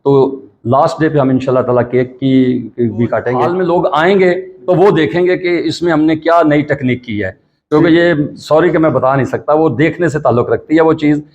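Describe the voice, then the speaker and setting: A man's voice: a little high, a little mellow. A man with a Pakistani accent gives an informal, explanatory interview answer in a conversational way. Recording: dull lo-fi event interview.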